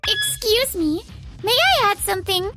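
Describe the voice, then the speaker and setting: An actress plays an earnest young woman from an anime office romance. Her voice is extremely high-pitched and she speaks up in a pointed, insistent, expressive way, with soft music underneath. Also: a little slow, heavily acted. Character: earnest, outspoken young female office worker, Yui. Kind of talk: anime-style manga dub acting.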